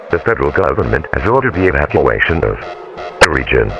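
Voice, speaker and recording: A man reading an emergency evacuation bulletin in a measured, official tone. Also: rumbling low. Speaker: emergency alert announcer. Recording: dull lo-fi audio with music.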